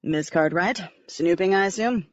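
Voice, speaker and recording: A woman voicing a wry male police officer who talks in a deadpan drawl, in an audiobook. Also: fairly low pitch, normal speed, no echo, highs cut off, close to an everyday voice. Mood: dry.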